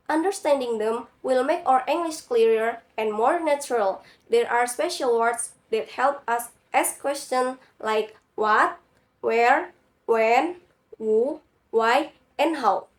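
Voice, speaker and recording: A young woman with a cold reads a prepared class presentation in careful, slightly halting English. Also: sits high. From Indonesia. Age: young adult. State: has a cold. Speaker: university student.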